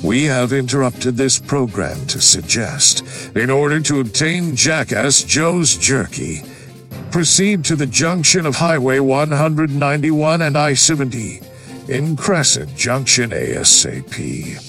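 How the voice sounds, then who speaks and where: A man's voice: medium pitch, very animated, measured pace, fairly bright tone, soft background music. Dramatic mock newscaster man. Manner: urgent, dramatic newscaster delivery. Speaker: ad announcer. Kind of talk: mock news alert radio ad.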